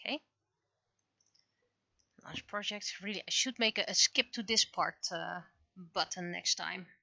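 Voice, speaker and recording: A woman livestreaming casually while she works, muttering to herself and questioning things aloud in an expressive way. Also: on the low side, unhurried, gravelly, no room sound. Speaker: livestreamer, webcomic and visual novel creator.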